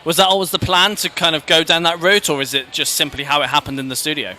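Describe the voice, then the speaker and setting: Man, interviewer, higher voice, very bright, friendly and a bit hesitant, outdoor festival noise. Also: level tone, fast talker. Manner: friendly, hesitant, stumbling restarts.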